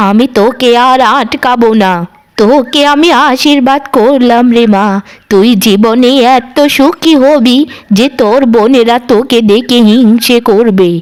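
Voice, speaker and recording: A solemn old enchantress of the forest acted by a woman, with a high voice. She is a cartoon granny who is kindly to the good and stern to wrongdoers, and she speaks like one handing down spells.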